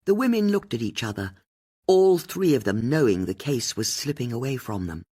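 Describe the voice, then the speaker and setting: Husky, highly animated audiobook narrator. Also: normal speed. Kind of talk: scripted mystery fiction narration.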